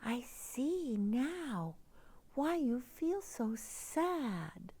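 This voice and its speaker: A woman voicing an earnest, concerned young girl from a storybook, speaking softly in rhyme. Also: sits high, big pitch swings, extremely slow, husky, no echo, pitched above the performer's own voice, heavily acted.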